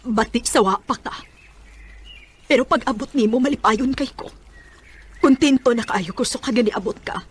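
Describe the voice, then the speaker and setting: A woman's voice: a little high, normal speed, pauses often, breathy edge. An actress playing a caring middle-aged mother giving counsel in a radio drama, with a Cebuano Filipino accent. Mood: tender. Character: gentle, encouraging middle-aged single mother.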